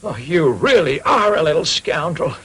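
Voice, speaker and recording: Excitable old woodcarver, wildly swooping voice. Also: male voice, fairly high pitch, normal speed, background noise. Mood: indignant. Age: older. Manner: muttering to himself, exclaiming. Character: kindly, excitable old woodcarver, easily exasperated father. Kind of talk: animated cartoon dub acting. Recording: music behind the voice.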